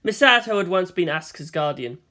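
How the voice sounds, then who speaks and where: A woman narrates scripted fiction. Her voice sits a little low, and her intonation moves widely and expressively from phrase to phrase. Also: expressive, a little fast, bright, forceful tone. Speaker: fan fiction reader and narrator.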